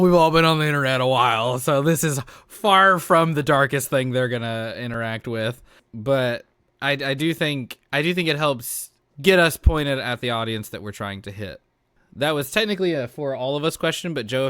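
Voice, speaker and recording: Male podcast co-host, mid-pitched and clear, dry sound, candid, relaxed wording and conversational delivery. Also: moderate pace, no room sound. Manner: candid, informal, freely sweary. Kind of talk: casual podcast discussion.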